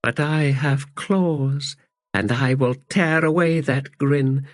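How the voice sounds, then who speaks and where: A man's voice: mid-range voice, fairly even intonation, slow, dry recording, clean studio sound, voice pushed up, close to an everyday voice. A man voicing a fierce young girl. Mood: fierce, vowing. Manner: chanting, impassioned, repetitive.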